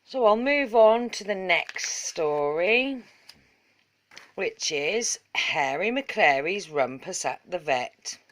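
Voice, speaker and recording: A woman reading a children's storybook aloud in measured, rhythmic verse. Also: lowish voice, animated. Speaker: story reader.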